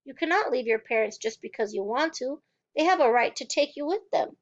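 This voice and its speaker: A kind young teacher speaks in a soft, calm, reassuring manner, as a woman puts her on. Her voice sits fairly high, and the storybook recording sounds slightly muffled. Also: brisk. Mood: gentle.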